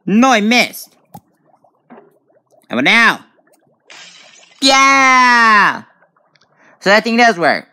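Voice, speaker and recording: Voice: mid-range voice, big pitch swings, extremely slow, pauses often, sharp tone. A gamer giving casual let's play commentary on a tablet game, reacting aloud with frequent exclamations. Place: indoors at a tablet.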